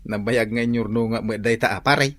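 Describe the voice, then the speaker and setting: A man's voice: medium pitch, a little slow, fairly bright tone, no room sound. An actor with an Ilocano accent playing a frank, boastful, jokey everyday man in a comedy radio drama. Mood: boastful, joking.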